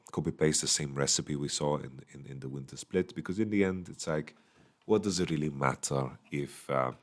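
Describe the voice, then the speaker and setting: A man with a slightly hoarse voice, casually giving a solo esports recap from a small hotel room into a microphone, thinking aloud with many fillers. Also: very deep voice, a little mellow. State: voice going a bit hoarse. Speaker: esports analyst. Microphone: studio microphone.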